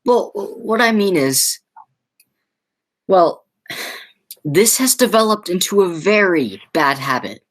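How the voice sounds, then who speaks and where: A teenage girl playing a flustered, exasperated man in a school radio play, stammering and halting as she speaks. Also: lowish voice, big pitch swings, full character voice. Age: teens. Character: flustered, exasperated male theater critic and nephew.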